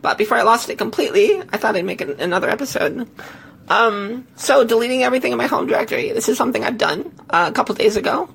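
A woman podcast host with a hoarse, failing voice tells a tech story casually, in a halting, self-correcting way. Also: a little high, lively intonation, fairly bright tone. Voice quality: hoarse, gravelly. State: hoarse, losing voice.

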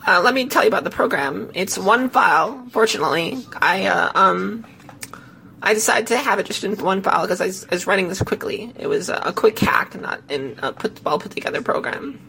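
A woman podcast host with a hoarse, failing voice tells a tech story casually, in a halting, self-correcting way. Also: medium pitch, animated, bright, forceful tone, slight background noise, low-quality recording. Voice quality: hoarse, gravelly. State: hoarse, losing voice.

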